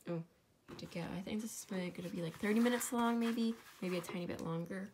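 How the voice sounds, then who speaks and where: A woman's voice: medium pitch, fast talker, a little mellow, slight background noise. A young woman with a stuffy, congested voice, casually talking through a crafting tutorial and often dropping to a whisper. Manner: chatty, self-deprecating, often drops to a whisper. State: congested, sore throat. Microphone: camera over a tabletop, hands only.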